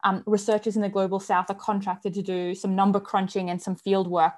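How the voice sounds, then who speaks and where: A woman's voice: mid-range voice, darkish tone. Earnest Australian woman panelist, thirties, talking over a video call from home. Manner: earnest, fluent, measured with some restarts. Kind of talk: online panel discussion. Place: home room with bookshelves. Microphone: video call, earphones.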